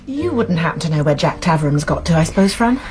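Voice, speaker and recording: An actress playing a sensible, caring college nurse in a British radio drama, speaking in a measured, articulate, earnest way with a British accent. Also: female voice, low voice, big pitch swings, darkish tone, faint music behind. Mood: concerned, urging. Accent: British English. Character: college nurse, sensible, principled, caring woman amateur sleuth.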